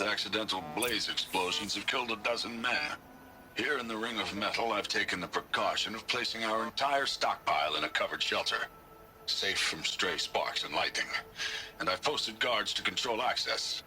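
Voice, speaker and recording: Man as a stern, low-voiced supply master. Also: noisy background, natural voice. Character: formal, stern military supply master issuing a warning. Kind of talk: formal dramatic game acting.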